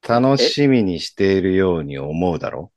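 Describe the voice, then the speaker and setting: An actor in a radio drama playing a relaxed, teasing older man who likes to share gossip. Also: normal speed, no echo, studio quality. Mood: confiding. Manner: teasing, relaxed, low-key banter. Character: laid-back older man, friends' senior, playful gossip.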